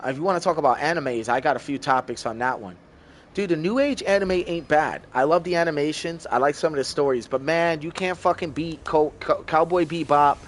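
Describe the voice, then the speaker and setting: A man's voice: on the high side, a little fast, slight background noise. A man in his thirties streaming a game, chatting loosely with viewers, calling them bro and swearing freely. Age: 30s. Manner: loose and profane, talks to chat as bro. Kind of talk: casual live-stream chat.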